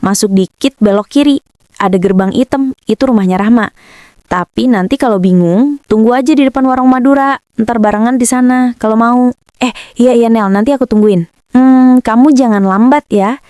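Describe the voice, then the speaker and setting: A woman's voice: a little high, normal speed, mellow, dry recording, clean studio sound, close to an everyday voice. A woman voicing a bossy, condescending teenage schoolgirl in a cartoon. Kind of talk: cartoon voice acting.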